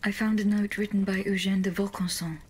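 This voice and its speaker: An actress playing a loyal, reserved servant of a queen in a video game, speaking in a formal, measured, terse way. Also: mid-range voice, steady tone, darkish tone, breathy edge, natural voice. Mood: serious, probing. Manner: measured, terse, solemn questioning. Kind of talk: dramatic video game dialogue.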